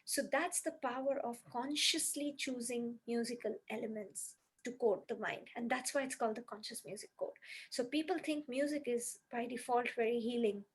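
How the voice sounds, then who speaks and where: A woman's voice: fairly high pitch, moderate pace, slightly rough. A woman on a podcast earnestly explaining her music healing method step by step, with many "you know"s.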